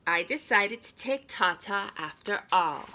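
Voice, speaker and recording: Woman reading as an eager, bright-voiced young boy. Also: mid-range voice, animated, a little slow, slight background noise. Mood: resolved. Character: Ira, young boy narrator, curious, earnest, insistent.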